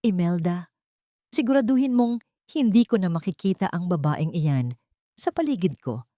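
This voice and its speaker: A woman's voice: lowish voice, animated, moderate pace, dark tone, no room sound, studio quality, lightly acted. A woman voicing a bitter, aloof, wealthy man who gives curt orders as master of the house in an audiobook. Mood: cold, dismissive. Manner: curt, commanding.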